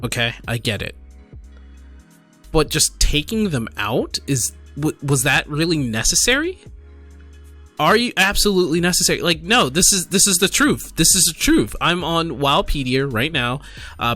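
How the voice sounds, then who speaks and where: Animated, high-pitched man, bright voice. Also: a little slow, soft background music. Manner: exasperated, incredulous, emphatic with repetition. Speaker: gaming streamer and host. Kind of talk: casual commentary reading forum posts aloud.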